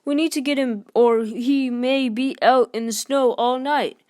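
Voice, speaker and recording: A woman's voice: sits high, steady tone, measured pace, a little mellow, dry recording, clean studio sound, voice pushed up. A woman voicing a stern male railway boss in a children's storybook, commanding and urgent.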